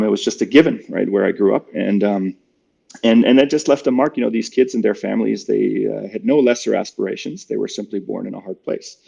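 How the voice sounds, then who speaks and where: A man in his forties, born in Germany, speaks as an interview guest. His voice sits low, with a gravelly edge and a dark, mellow tone. He answers reflectively, with plenty of fillers and restarts, and the recording sounds slightly dull. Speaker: guest, company cofounder.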